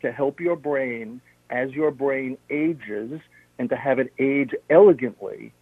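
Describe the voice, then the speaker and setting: Male guest, instructive and methodical, husky tone on a narrow phone line, dry. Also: medium pitch, slow pace, no room sound. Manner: steady, instructive, lists points one by one. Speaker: health practitioner guest. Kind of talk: radio interview, explaining health tips.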